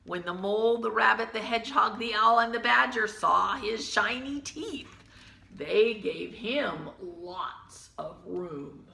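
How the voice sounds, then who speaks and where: A woman teacher reading a children's picture book aloud, gently pausing to point out the pictures in hushed asides. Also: medium pitch, gravelly, echoey room.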